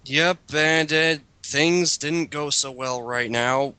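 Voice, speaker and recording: Guy hosting a casual group reading, kinda high and bright voice, really dry sound. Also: takes it slowly, clean tone, no room sound. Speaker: host of a group dramatic reading video. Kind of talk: casual commentary with friends.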